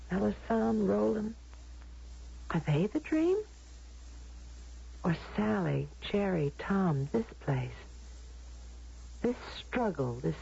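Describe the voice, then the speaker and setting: An actress playing an anguished young violinist in an old-time radio drama, breathless, hesitant and dramatic. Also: female voice, medium pitch, gravelly, warm tone, slightly rough, exaggerated voice. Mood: dazed, wistful. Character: anguished young woman violinist torn between dream and reality. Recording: muffled old radio broadcast recording.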